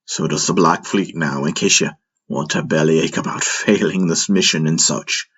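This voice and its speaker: A man playing a gruff, joking, hot-headed spaceship pilot with rough, folksy old-salt talk in an audio drama, over music. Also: very deep voice, moderate pace, a bit bright, heavily acted. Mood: grim, wry. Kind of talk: audio drama character acting.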